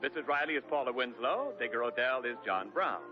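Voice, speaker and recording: A man's voice: mid-range voice, lively intonation, brisk. A man announcing sponsor commercials and credits in a polished 1940s radio style. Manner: smooth, polished, emphatic. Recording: dull lo-fi old radio recording with music.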